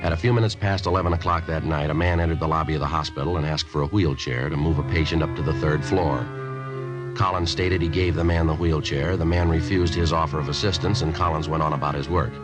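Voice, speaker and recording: Stoic police detective, American, bottomless low pitch, clipped matter-of-fact delivery, old radio crime drama, music. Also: male voice, fast talker. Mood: flat, factual. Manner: terse, deadpan, matter-of-fact. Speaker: police detective narrator and lead.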